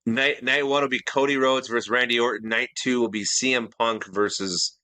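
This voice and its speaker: Bright-voiced man in a dry room. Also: medium pitch, steady tone, moderate pace, dry recording. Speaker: podcast co-host. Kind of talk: casual banter.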